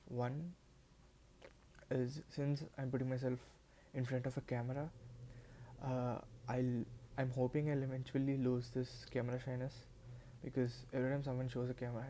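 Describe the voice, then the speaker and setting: A man's voice: medium pitch, mellow, slight background noise. A man in his twenties whose first language is Telugu, talking unscripted to a camera in a hesitant way, with many restarts and repeated words. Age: 20s. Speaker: vlogger.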